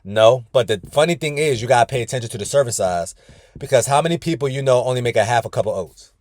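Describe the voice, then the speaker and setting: A man's voice: medium pitch, lively intonation, fast, a little mellow, no room sound, clean studio sound. A man coaching a weight-loss group on a video call from his car, talking casually and often calling the group "y'all". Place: inside his parked car.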